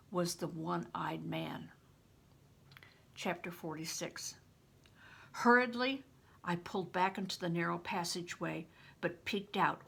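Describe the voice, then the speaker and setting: An older woman reads a children's novel aloud in a bright, forceful voice with noticeable creak. Her delivery is expressive and emphatic, like a seasoned storyteller. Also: on the low side. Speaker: retired reading teacher, storyteller. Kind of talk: expressive audiobook-style reading of a children's novel.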